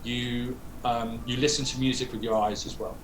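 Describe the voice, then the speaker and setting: A man, a podcast guest who works in music PR, explaining in a relaxed way with fillers and self-corrections. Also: medium pitch, normal speed, echoey room, crowd noise, rough recording. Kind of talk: casual interview talk.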